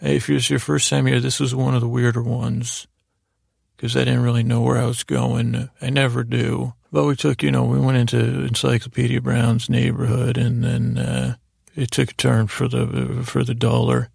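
A man hosting a bedtime podcast in a halting, meandering, gently silly monologue, with a gravelly, creaky voice. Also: medium pitch, flat delivery, no echo, clean studio sound. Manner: meandering, halting, trails off and loses his way between words. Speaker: podcast host.

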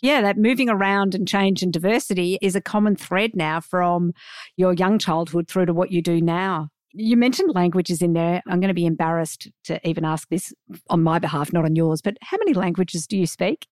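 A woman hosts a podcast interview, asking warm, curious questions. Her voice is slightly low and she drops in self-deprecating asides. The sound is dry and close to the mic. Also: moderate pace, no room sound. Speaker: podcast host.

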